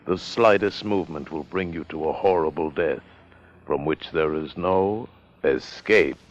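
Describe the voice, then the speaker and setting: Deep ominous suspense narrator. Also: male voice, a little slow, background noise. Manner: ominous, dramatic. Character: ominous male narrator teasing a suspense tale. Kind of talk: 1950s radio suspense narration. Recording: dull 1950 radio recording, music behind.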